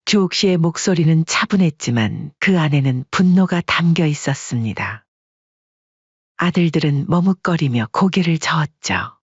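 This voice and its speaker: A man narrating a story audiobook in a steady storytelling manner, on a dull, dry recording. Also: a little high, normal speed, husky, no echo.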